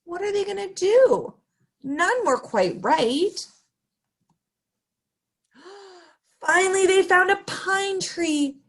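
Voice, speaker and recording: High, animated woman reading to children. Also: extremely slow, pauses often. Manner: exaggerated, sing-song, asking questions of young listeners. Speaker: children's story time host. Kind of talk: animated picture-book read-aloud for young children.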